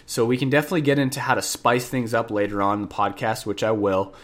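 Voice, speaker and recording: A man's voice: mid-range voice, flat delivery, fast, fairly bright tone. A man hosting a podcast lesson on jazz piano, talking casually with a stuffed-up nose, repeating key points and correcting himself as he goes. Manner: chatty, repeats points for the listener, self-corrects.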